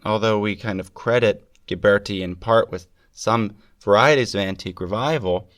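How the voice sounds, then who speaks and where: A man with a fairly low voice hosts a lecture-style podcast, a little gravelly and stuffed up from a cold. He lectures with eager emphasis. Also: moderate pace, a bit bright. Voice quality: slightly gravelly. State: congested with a cold. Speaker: podcast host. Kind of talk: scripted lecture on art history.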